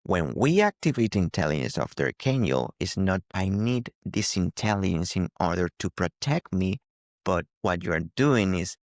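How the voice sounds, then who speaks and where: A man's voice: low voice, sing-song, moderate pace, dry recording, clean studio sound. A man giving an earnest, instructive spiritual talk as a teacher, often repeating phrases for emphasis.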